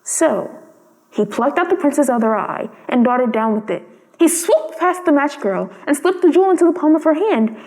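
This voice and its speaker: Lady reading a fairy tale out loud, high pitched, big echoey reverb. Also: expressive, normal speed. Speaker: storybook reader. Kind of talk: scripted storybook narration. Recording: long hall-like reverb.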